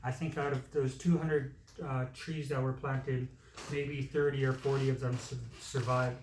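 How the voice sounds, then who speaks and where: A man's voice: mid-range voice, little pitch movement, measured pace, darkish tone. A man giving a community speech, plain-spoken with frequent fillers. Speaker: community speaker. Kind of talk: public speech. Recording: echoey room, distant mic, faint noise.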